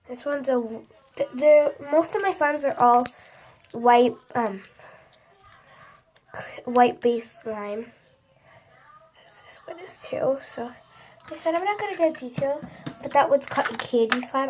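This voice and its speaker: A high-pitched teenage girl talks casually to her phone, her voice stuffy and congested from a cold. She rambles, full of likes and false starts. A faint hiss sits under the recording. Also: expressive, unhurried, pauses often, darkish tone. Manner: rambling, many likes and restarts. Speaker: vlogger. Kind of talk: casual chat to camera.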